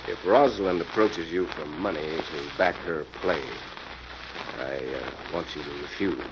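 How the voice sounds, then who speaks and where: A man's voice: a bass voice, measured pace. An actor playing a confident, wealthy horseman in a 1940s-style radio mystery. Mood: confiding. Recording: muffled old radio recording, heavy crackle.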